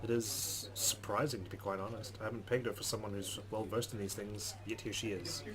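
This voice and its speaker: Fast-talking man with a low voice. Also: fairly even intonation, noisy background. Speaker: let's play host. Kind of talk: reading a visual novel aloud with asides.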